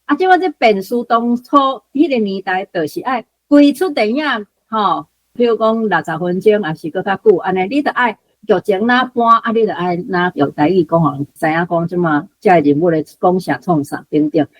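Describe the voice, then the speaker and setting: A woman's voice: mid-range voice, normal speed, dry recording, low-quality recording. A woman with a Taiwanese accent giving unscripted explanatory commentary in a conversational way, full of particles and small asides. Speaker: guest commentator.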